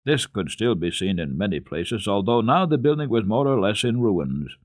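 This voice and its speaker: Expressive male storyteller with wide-swinging intonation. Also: on the low side, normal speed, dry recording, clean studio sound. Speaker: narrator and host of a children's radio program. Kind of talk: dramatic reading of classic children's literature.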